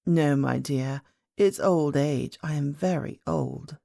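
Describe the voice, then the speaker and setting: A woman voicing a very old, gentle and mysterious grandmother queen in a storybook, speaking calmly and kindly with measured words. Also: sits low, gravelly, no room sound, clean studio sound, pitched below the performer's own voice, full character voice. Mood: patient, amused. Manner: calm, measured, kindly, enigmatic. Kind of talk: storybook character voice.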